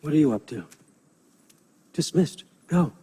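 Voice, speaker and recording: A man's voice: on the high side, lively intonation, a little slow, warm tone, husky, long reverb tail, exaggerated voice. An actor playing a sly, sardonic older god-king in a video game, wheedling and conversational with menace underneath. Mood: breezy, teasing. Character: sly, wry older male Norse god-king and patriarch. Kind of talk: cinematic video game acting.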